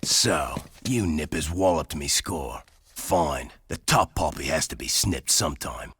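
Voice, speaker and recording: A man playing a tough, cocky desert gunner in a video game, gravelly, gruff and folksy. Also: very deep voice, expressive, measured pace, fairly bright tone, full character voice. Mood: grudging.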